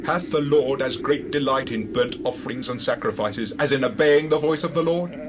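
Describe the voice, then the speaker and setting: A man's voice: mid-range voice, normal speed, noisy background, lightly acted. A man playing a stern, righteous prophet in a dramatized scripture reading, solemn and declamatory. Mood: stern, rebuking.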